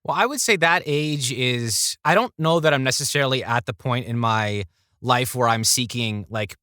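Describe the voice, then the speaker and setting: A man with a bright, forward voice tells anecdotes in a casual podcast chat. He acts out bits of dialogue as he goes. The sound is dry, with very little room. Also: medium pitch, moderate pace, smooth, no room sound. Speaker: podcast guest, voice actor.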